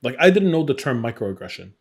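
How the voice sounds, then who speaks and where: A man's voice: medium pitch, very animated, quick pace, husky. A middle-aged man born in Iraq, talking candidly as an interview guest and acting out remembered remarks as he tells his story. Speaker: interview guest, game designer. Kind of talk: reflective personal interview, conversational storytelling.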